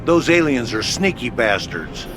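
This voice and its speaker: Brash military officer with animated delivery. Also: male voice, mid-range voice, unhurried, music in the background. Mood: gruff, commanding. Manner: brusque, colloquial military orders. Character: gruff, brash male military headquarters officer. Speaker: headquarters military operator. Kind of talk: video game voice acting.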